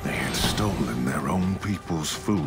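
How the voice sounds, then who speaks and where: An actor playing a grim, battle-worn Viking warrior who narrates a game story gravely and deliberately in a gravelly voice. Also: male voice, low voice, animated. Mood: resentful. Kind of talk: dramatic game cutscene narration. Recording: loud music behind the voice.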